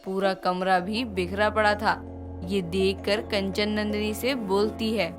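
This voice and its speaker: Woman telling a scripted story, medium pitch, music going behind her. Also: level tone, a little slow. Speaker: narrator.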